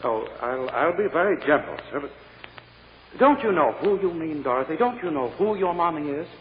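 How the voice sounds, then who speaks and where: A man's voice: a little high, lively intonation, moderate pace, lightly acted. Man acting combative American courtroom prosecutor, insistent, old radio drama, tinny hissy recording, long echoing tail. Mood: falsely gentle, badgering. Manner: emphatic, pompous, insistent. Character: combative, badgering male prosecutor, indignant and pompous.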